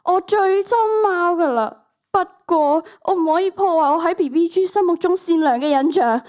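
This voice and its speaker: A woman's voice: very high-pitched, moderate pace, a little mellow. A teenage girl with a Hong Kong Cantonese accent playing an insecure, lovesick, eager-to-please young woman in a student radio drama. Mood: shy, eager.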